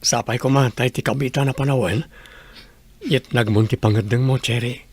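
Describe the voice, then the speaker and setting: A man's voice: medium pitch, steady tone, moderate pace, a little hiss or hum, lightly acted. A man playing a humble, earnest young working man in a radio drama. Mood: humble, tender.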